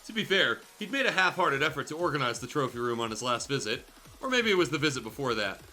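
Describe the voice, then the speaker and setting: Animated, bright-voiced man reading aloud. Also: medium pitch, quick pace. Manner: irreverent, sweary. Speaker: show host reading aloud. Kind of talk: comedic fan fiction reading with casual asides.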